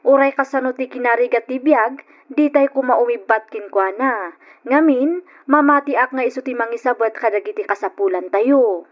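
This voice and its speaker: A woman's voice: on the high side, moderate pace, dull audio, lightly acted. A woman with an Ilocano accent playing a dutiful, devout, hardworking daughter in an old radio drama. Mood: earnest. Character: dutiful, devout, hardworking daughter supporting her poor family.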